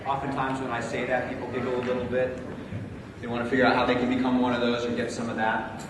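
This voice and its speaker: A man's voice: medium pitch, completely flat delivery, quick pace. A man with a big voice giving a conference talk, speaking casually with many fillers and calling on the audience for answers. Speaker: conference presenter. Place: large conference hall. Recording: echoey hall, distant mic, room noise.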